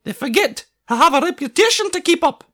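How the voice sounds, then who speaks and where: A shrill Scottish painter. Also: male voice, animated, fairly quick, fairly bright tone, full character voice. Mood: cross. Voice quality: unusually high-pitched. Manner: theatrical, grumbling, pleading. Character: high-voiced Scottish male painter, eccentric and touchy. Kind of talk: audiobook character reading.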